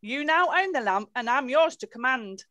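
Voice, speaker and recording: An obliging old genie of the lamp from an amateur pantomime, read by a woman. The voice is high and very animated, making grand offers of service. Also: moderate pace, no echo. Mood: eager to serve. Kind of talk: amateur pantomime script reading. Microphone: computer mic on video call.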